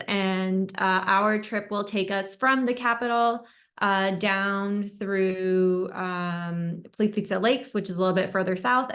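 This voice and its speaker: A young American woman giving a webinar presentation on a video call, friendly, with many ums and uhs. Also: mid-range voice. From United States. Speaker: tour destination expert. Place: indoors at home in a room.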